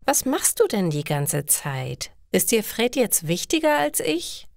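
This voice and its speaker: A neglected young girlfriend from a storybook, acted by a female narrator, plaintive and reproachful. Her lines are lifted in pitch and rise and fall a lot, recorded very dry. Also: big pitch swings, unhurried, vocal fry, dry recording, full character voice. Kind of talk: learner storybook dialogue.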